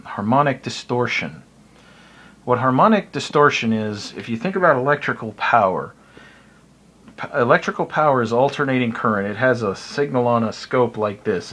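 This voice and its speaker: A man's voice: mid-range voice, fairly bright tone. American man explaining informally, in a hesitant way. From United States. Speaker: hobbyist video presenter. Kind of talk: informal unscripted tutorial explanation.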